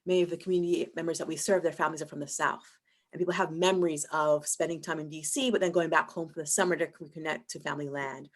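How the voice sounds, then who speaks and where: A woman from Barbados with a notably low voice talks through her work as a panelist on a video call. She is thoughtful and measured and fills her pauses with "um" and "and so". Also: steady tone. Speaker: museum staff panelist. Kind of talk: online panel discussion.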